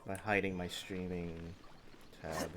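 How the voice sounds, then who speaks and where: A man with a lowish voice chats offhand as he streams a game, half talking to himself and swearing casually. The mic picks up clear noise, with keys clicking and typing behind him. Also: flat delivery, takes it slowly. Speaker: game livestreamer. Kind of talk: casual gaming stream chat.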